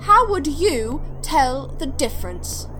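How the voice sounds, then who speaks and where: A woman acting an inquisitive young companion in an audio drama. Her high voice is very lively, playful and questioning. Faint noise sits behind it. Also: slow, heavily acted. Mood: curious.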